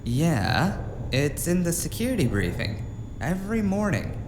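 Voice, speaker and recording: A man's voice: a little high, wildly swooping pitch, unhurried, exaggerated voice. A man playing a chatty, unflappable office worker in a noir audio drama, rambling with easygoing wry humor. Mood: wary. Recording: roomy echo, clear background ambience noise.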